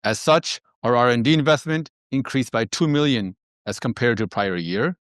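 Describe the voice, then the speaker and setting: Guy doing the numbers on an earnings call, businesslike, medium pitch, dry sound. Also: lively intonation, moderate pace, fairly bright tone, no room sound. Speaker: company finance executive. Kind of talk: earnings call presentation, financial report.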